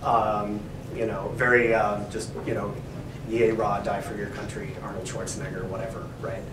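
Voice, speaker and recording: A man's voice: lowish voice, little pitch movement, moderate pace. Distant, echoey male professor talk. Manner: thoughtful, hesitant, many fillers. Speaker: professor, co-editor. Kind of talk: conversational academic talk. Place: library event room. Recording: echoey room, distant mic, background noise.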